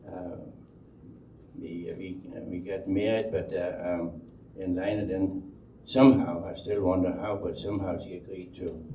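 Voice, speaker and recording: An older man from Denmark with a Danish accent tells his life story unscripted, halting and repeating words as he rambles along. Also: deep voice, flat delivery. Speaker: speaker telling life story. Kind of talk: unscripted oral history storytelling. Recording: dull, roomy, distant microphone, faint noise.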